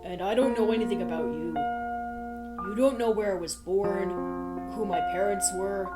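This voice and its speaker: A woman's voice: medium pitch, fairly even intonation, moderate pace, close to an everyday voice. A woman voicing a meek captive stallion pony, hesitant and earnest, in a fan fiction audio story over guitar music. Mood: earnest, questioning.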